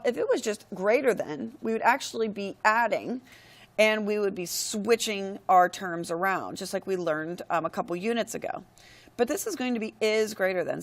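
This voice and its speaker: Hoarse woman teaching a math lesson. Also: medium pitch. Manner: steady, step-by-step explaining with frequent "all right, go ahead". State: losing her voice, hoarse. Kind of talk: screen-recorded lesson.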